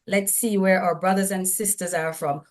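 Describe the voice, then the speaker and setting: A woman's voice: fairly low pitch, steady tone, moderate pace. A woman with a Jamaican accent hosting an online seminar, speaking to her audience in an upbeat, emphatic way. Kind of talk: live event hosting.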